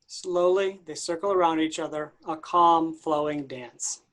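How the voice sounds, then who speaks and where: A woman's voice: sits low, fairly even intonation, unhurried. A woman reading out scripted stage directions as the narrator of a play reading.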